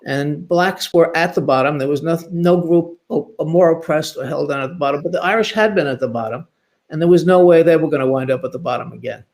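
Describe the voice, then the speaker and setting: A man speaking as a historian on a panel, informal but scholarly, halting at times and building emphatic lists. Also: on the high side, a little mellow.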